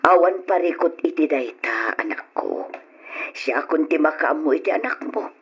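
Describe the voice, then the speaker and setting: An actress playing a caring elderly mother in an old-style radio drama, consoling and counselling her grown son with gentle sighs. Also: deep voice, husky, exaggerated voice. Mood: gentle, supportive. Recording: dull lo-fi radio drama recording.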